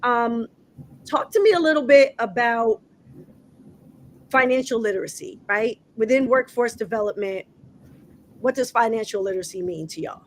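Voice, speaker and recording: A woman's voice: a little high, normal speed, pauses often, slight background noise. A woman hosting a community talk show with a group of young people, speaking in a conversational way with frequent "um"s. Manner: warm, affirming, conversational with many "um"s. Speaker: host. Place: indoor room with a group.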